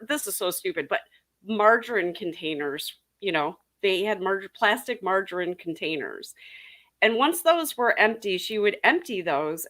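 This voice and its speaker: A woman's voice: medium pitch, fairly bright tone. A middle-aged woman talking informally on a panel, chatty and repeating points for emphasis. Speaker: urology clinic panelist. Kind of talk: casual panel chat.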